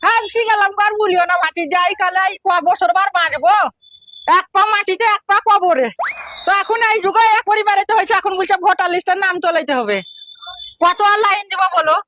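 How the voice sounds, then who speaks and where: A man's voice: very high voice, a little fast, sharp tone, no room sound. A man playing an aggrieved old village man in a comic skit, complaining with rhetorical questions in a rural West Bengal accent. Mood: weary grumbling. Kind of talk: comic social skit acting. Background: faint music.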